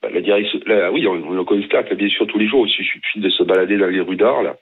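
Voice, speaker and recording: Husky man on a phone line. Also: low-pitched. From France. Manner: emphatic, argumentative, quick asides. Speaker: caller. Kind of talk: radio call-in opinion.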